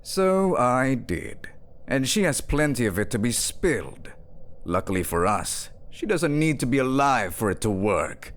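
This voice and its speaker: An actor playing a scheming duke in a fantasy audio drama, with a pompous, theatrical, taunting delivery. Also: male voice, mid-range voice, very animated, measured pace. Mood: coldly urging. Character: scheming, sneering duke, a smooth-tongued villain.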